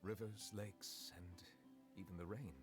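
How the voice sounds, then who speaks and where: An actor playing a dignified, reserved dragon judge in a video game, speaking formally and with restraint. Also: male voice, low-pitched, steady tone, unhurried, over loud music. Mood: reserved, measured.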